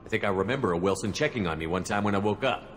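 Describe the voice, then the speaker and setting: A man's voice: on the low side, expressive, racing, a bit bright, a little hiss or hum. A man acting a wary, principled military commander in a video game, blunt, guarded and questioning. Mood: wary, probing.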